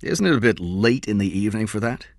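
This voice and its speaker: Casting: curt, guarded rich businessman for an audiobook, read by a man, low and flat, terse delivery. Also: fast, no room sound, clean studio sound.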